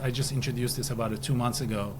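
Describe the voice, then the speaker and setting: A man from Turkey chats casually as a podcast host, in a mid-pitched voice. His recording has clear background noise. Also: steady tone, fast talker. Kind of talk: casual interview chat.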